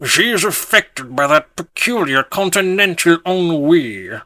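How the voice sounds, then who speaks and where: A man voicing a pompous, opinionated knighted gentleman who intones his words grandly. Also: on the high side, very animated, a little slow, no room sound, full character voice.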